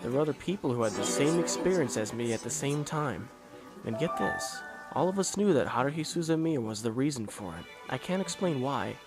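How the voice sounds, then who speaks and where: A man voicing a calm, polite teenage esper boy in an amateur anime fan dub, explaining things in a composed, courteous way. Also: mid-range voice, brisk, lightly acted. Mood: calm, explanatory. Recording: loud music under the voice.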